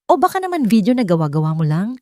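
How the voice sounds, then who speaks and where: A woman's voice: medium pitch, big pitch swings, fast talker, darkish tone, dry recording, lightly acted. A manipulative wife put on by a woman narrator in a romantic audio drama. She pleads and accuses, recorded very dry. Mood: tearful, accusing.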